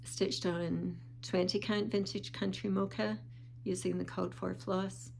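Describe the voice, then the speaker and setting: A woman talking casually in a show-and-tell vlog, calm and unhurried with small pauses, sounding a bit sniffly. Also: medium pitch, creaky, slight background noise. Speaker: vlogger.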